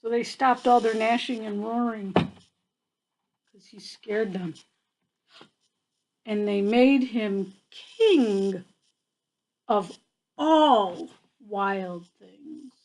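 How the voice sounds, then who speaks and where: A woman's voice: a little high, drawn-out words, long pauses, darkish tone, poor audio. An older woman reading a picture book aloud to children like a grandmother, adding chatty asides and checking in with her young listeners.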